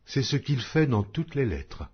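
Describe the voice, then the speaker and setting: A man reading scripture aloud in a solemn, measured way. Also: medium pitch, sing-song, breathy edge. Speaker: Bible reader. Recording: dull lo-fi audio.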